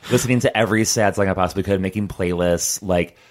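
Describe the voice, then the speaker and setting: A man's voice: deep voice, quick pace. A young adult man co-hosting a podcast, speaking in a rambling, reflective way with many fillers and restarts. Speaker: podcast co-host. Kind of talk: casual conversational chat.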